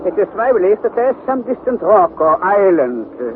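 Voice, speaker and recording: An actor playing a stubborn, impulsive older professor, commanding and opinionated, hemming before pronouncements in a vintage radio drama. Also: male voice, sits high, big pitch swings, a little fast. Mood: pondering. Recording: tinny, noisy vintage radio broadcast.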